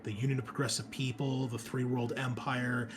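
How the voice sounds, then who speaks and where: Man, game master, mid-pitched, casual and conversational, enthusiastic, sweary, slight background noise. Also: flat delivery, moderate pace. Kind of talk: casual chat and tabletop game narration.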